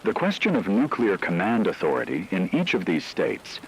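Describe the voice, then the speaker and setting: A man playing a grave radio news analyst, delivering scripted reports in a formal, measured, analytical manner. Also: deep voice, huge pitch swings, slight background noise, heavily acted, rough recording.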